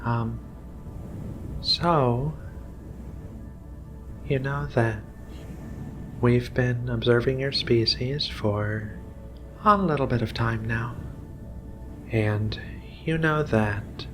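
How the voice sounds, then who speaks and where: A man voicing a gentle, affectionate female alien cat researcher in a soft, halting ASMR roleplay. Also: mid-range voice, music in the background. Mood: gentle, reassuring. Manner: soft, slow, tender, halting.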